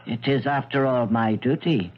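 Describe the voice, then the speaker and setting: An actor playing a formal, self-justifying man in 1970s radio drama, speaking in measured phrases. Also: medium pitch, natural voice. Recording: dull 1970s radio broadcast recording.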